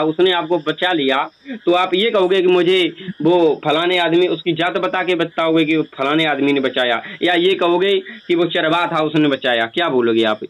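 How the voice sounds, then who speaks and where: Man, higher-pitched voice, insistent, lecturing, rhetorical questions, argumentative recorded phone call. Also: fairly bright tone. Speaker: speaker who performs religious stories on stage, on a call.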